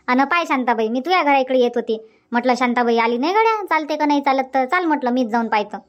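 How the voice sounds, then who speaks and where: A woman's voice: sits high, expressive, quick pace, no echo, muffled recording. A woman voicing a chatty village mother in a cartoon comedy, speaking colloquial Varhadi Marathi in a homely way. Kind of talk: cartoon comedy dubbing, everyday family chat.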